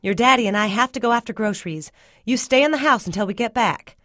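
A woman narrator plays a country mother in an audiobook story, her voice lifted high and edged with exasperation. She scolds and presses with questions, sharp and worried, in a very dry recording. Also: fast, no room sound. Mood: anxious, insistent. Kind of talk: audiobook character dialogue.

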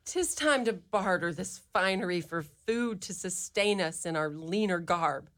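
A woman's voice: lowish voice, animated, unhurried, fairly bright tone. An actress playing a doting, anxious mother in a fairy-tale radio play, melodramatic and archaic in her phrasing. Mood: weary. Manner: melodramatic, swooping, archaic diction. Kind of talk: theatrical radio play acting.

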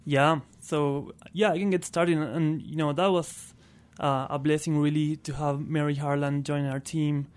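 Male radio guest, Argentine Spanish accent, slightly high and even-toned, conversational. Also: a little slow, few pauses. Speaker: interview guest, clean transportation programme worker. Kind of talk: casual radio interview.

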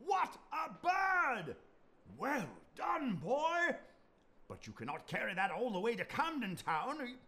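An actor playing a gleeful, reformed old miser in a radio play, with an exuberant, chuckling delivery. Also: male voice, huge pitch swings, slow, sharp tone, exaggerated voice. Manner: exuberant, chuckling, sweeping pitch. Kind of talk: stage radio play acting.

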